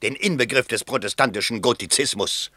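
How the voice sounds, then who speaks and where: A man's voice: mid-range voice, a little fast, sharp tone, husky, no echo. An actor playing a shrewd, blustering middle-aged bourgeois politician and father in a 1960s radio play, speaking in an emphatic, theatrical manner. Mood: indignant.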